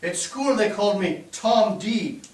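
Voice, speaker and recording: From far off in an echoey theatre, a man plays an immigrant telling stories of his youth. His high voice moves in lively swings, with folksy little asides. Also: measured pace. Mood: reminiscing. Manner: animated storytelling, folksy asides. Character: chuckling Irish immigrant man recounting his boyhood. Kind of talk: staged play reading, reminiscence. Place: theatre stage. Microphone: distant microphone. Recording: distant, echoey stage pickup.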